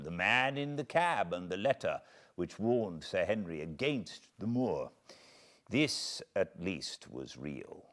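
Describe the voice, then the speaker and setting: A British man reading a classic novel aloud as an audiobook narrator, in a measured, expressive storytelling manner. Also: low voice. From Britain. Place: cozy spot by a fireplace at home.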